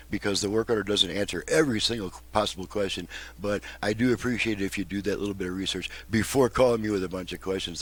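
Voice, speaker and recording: A man with an American accent and a fairly low voice hosts a hands-on repair demonstration. He talks casually and directly to his viewers, like a technician at work explaining each step. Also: fairly bright tone. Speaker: host, radio repair technician.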